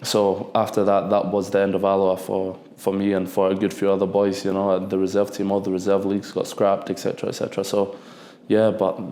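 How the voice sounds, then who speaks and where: A man in his twenties talks through his story in a loose, rambling way, circling back and repeating phrases. His voice sits low and mellow with little rise and fall. The room around him rings with noticeable echo. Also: completely flat delivery, a little fast, slight background noise. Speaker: football defender, guest. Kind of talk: casual podcast interview. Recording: echoey room.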